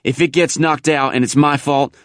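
Man acting out an urgent, higher-pitched wartime tractor driver. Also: fairly even intonation, moderate pace, a bit bright, no echo, clean studio sound, close to an everyday voice. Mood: anxious groaning. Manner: blunt, urgent, slangy. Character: brisk, anxious wartime military tractor driver, adult man. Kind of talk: audiobook character dialogue.

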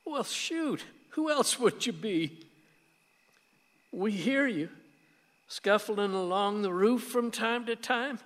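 A man's voice: sits high, lively intonation, slow, pauses often, fairly bright tone, in a large hall, heavily acted. An actor playing a folksy, stubborn but tender old grandfather in a radio play. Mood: tender wonder.

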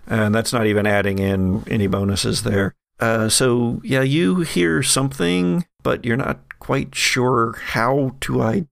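A man runs a horror tabletop game as its game master, painting scenes as he makes them up. His voice has a gravelly creak and an average pitch. The sound is dry, with no room around it. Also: measured pace, few pauses, no room sound. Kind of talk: narrating a horror tabletop game, semi-improvised.

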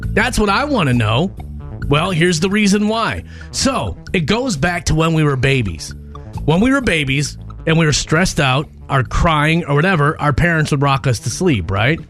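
A man co-hosting a morning radio show, explaining and bantering in an animated, conversational, punchy way from a studio. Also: fairly high pitch, normal speed, faint music behind.

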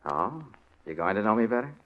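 An actor playing a suave, wry private investigator in a 1940s radio detective drama, cool and commanding with a flirtatious streak. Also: male voice, lowish voice, very animated, fairly quick. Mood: flirtatious, probing. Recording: muffled old radio drama recording.

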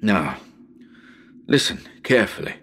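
Man acting a nervous, deep-voiced radio ghost hunter. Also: very animated, unhurried, gravelly, pushed and bright, husky, exaggerated voice. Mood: rattled, gloomy. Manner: hesitant, stammering, chatty, uneasy. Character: nervous male radio broadcaster ghost hunting in haunted house. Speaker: radio presenter in a dramatic reading. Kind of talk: dramatic reading as live radio monologue.

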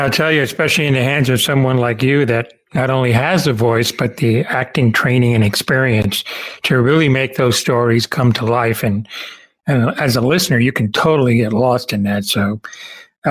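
A man's voice: medium pitch, a bit bright. A chatty, enthusiastic middle-aged or older man interviewing a guest in a casual way.

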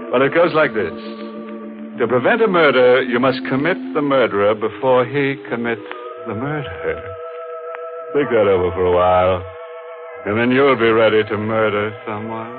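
A man's voice: lowish voice, animated, normal speed, close to an everyday voice. Man playing a mock-sinister horror host over music. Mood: gleefully macabre. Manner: teasing, mock-sinister, punning. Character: gleefully macabre, sardonic male horror-show host. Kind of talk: 1940s radio horror hosting. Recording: muffled old radio recording. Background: prominent music.

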